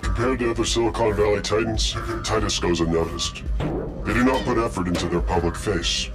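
Man voicing underground hacker spokesman, deep, ominous, grave, measured propaganda narration, video game, clear music bed. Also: lightly acted. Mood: grave, warning.

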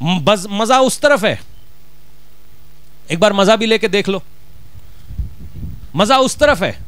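A man's voice: high-pitched, lively intonation, slow, a bit bright, smooth, dry recording. Man, Punjabi accent, middle-aged pastor, emphatic sermon, handheld mic, dry sound. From Punjab, India. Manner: emphatic preaching with rhetorical questions and Punjabi words. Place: church stage, indoors.

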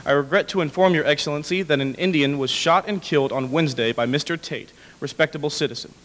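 A young man with a fairly high voice reads formal prose aloud. He is a little halting, and his voice reverberates in a hall. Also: fairly bright tone. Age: young adult. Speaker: dramatic reader. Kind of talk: formal read-aloud of historical letter. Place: indoor hall with brick walls, small audience. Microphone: podium microphone.

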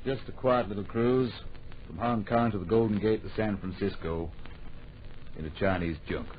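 An actor playing a determined, daring adventurer in a radio drama, polite but stubborn and self-assured. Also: male voice, on the low side, normal speed, lightly acted. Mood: weary, calm. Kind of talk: old radio drama acting. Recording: dull old radio recording, faint noise.

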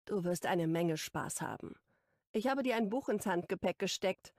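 A woman's voice: on the low side, animated, moderate pace, no echo, clean studio sound. A woman voicing a protective big sister. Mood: caring. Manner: gentle, motherly delivery. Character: caring, protective older sister, young woman. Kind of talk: audiobook dialogue reading.

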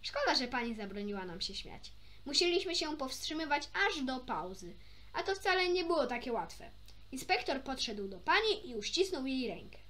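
A woman's voice: high-pitched, big pitch swings, normal speed, a bit bright, slight background noise. A woman reading a children's story aloud expressively, occasionally stumbling and rereading a phrase.

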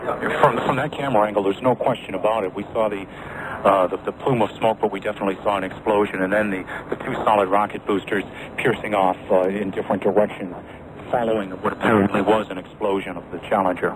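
A man giving live on-air commentary, halting and repeating words, through a noisy shortwave recording. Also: lowish voice, steady tone. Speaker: correspondent. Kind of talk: live breaking news commentary.

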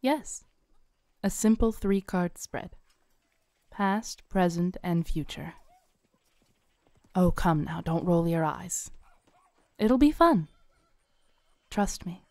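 Smooth, coaxing woman fortune teller. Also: on the low side, lively intonation, slow pace, pauses often, no echo. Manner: soft, coaxing, gently teasing. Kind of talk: scripted roleplay audio.

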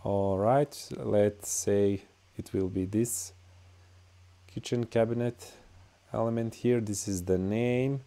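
Slow, mellow-voiced Bosnian man. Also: on the low side, steady tone. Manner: halting, many "uh" and "all right" fillers. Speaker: tutorial host. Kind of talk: screen-recorded software tutorial.

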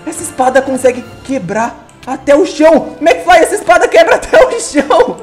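Excitable young Paulista gamer with a cold. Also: male voice, very high-pitched, brisk, faint music behind. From Brazil. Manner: excitable, chatty, addresses viewers. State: congested from a cold. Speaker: gaming YouTuber. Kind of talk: playful gameplay commentary.

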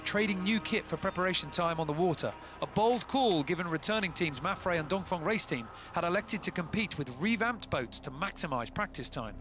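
High-voiced man narrating sailing news. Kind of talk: scripted narration. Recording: voice-over with music behind.

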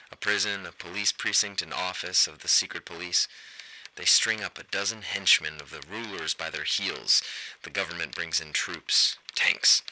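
A man's voice: deep voice, pushed and bright, slight background noise, rough recording. An actor playing a grim, self-loathing, disillusioned man, giving an intense, declamatory monologue in a radio play.

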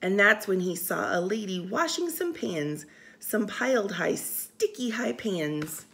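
A woman reading a picture book aloud for storytime, expressive and sing-song, with casual asides. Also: medium pitch, a little slow, creaky. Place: indoors, room by a window.

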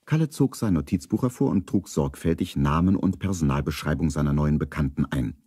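A man's voice: rumbling low, brisk, dark tone, husky, no room sound, clean studio sound. A man narrating a scripted children's radio play as a storyteller.

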